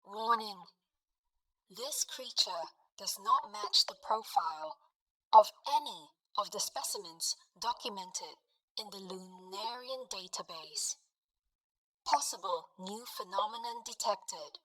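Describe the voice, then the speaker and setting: A woman playing a cautious, analytical AI assistant in a sci-fi story, speaking in measured, formal, precise phrases. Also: a little high, big pitch swings, pushed and bright, dry recording, heavily acted, low-quality recording. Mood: alert. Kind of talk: scripted sci-fi audio drama.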